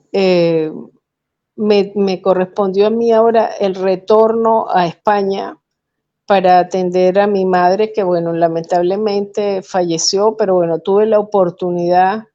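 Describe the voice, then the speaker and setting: Venezuelan woman guest on a video call, thoughtful, slow and low, audio a bit muffled. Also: warm tone. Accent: Venezuelan Spanish. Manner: measured, thoughtful, with hesitant fillers. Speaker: guest poet. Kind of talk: remote interview, reflective storytelling.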